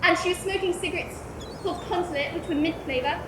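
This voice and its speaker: A woman playing a literal-minded teenage boy who speaks flatly and exactly, stammering when pressed. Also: very high voice, quick pace. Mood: recounting. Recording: echoey room with background noise.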